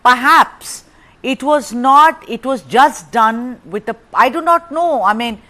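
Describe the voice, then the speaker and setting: A woman's voice: sits high, slow, sharp tone. Probing news anchor woman. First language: Tamil. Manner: probing, pressing questions. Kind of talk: live TV interview.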